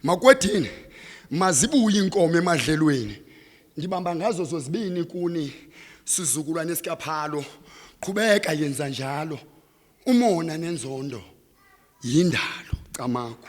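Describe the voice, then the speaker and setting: A man's voice: on the high side, lively intonation, moderate pace, pauses often. A man with a Xhosa accent performing declamatory, rhythmic spoken-word poetry on stage, impassioned and dramatic. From South Africa. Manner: impassioned, dramatic, swelling from hushed to loud. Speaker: slam poet performing on stage. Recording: live stage performance, reverberant hall.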